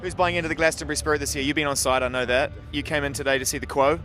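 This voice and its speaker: Fast-talking male interviewer. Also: on the high side, steady tone, bright, forceful tone. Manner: fast, eager, chatty questioning. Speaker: radio interviewer. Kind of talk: backstage festival interview. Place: outdoors at a music festival backstage.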